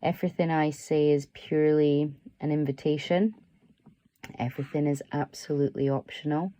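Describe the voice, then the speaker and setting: A woman guiding a relaxation meditation as a yoga teacher, soothing and unhurried, offering gentle, permissive instructions. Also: sits low, fairly even intonation, dry recording.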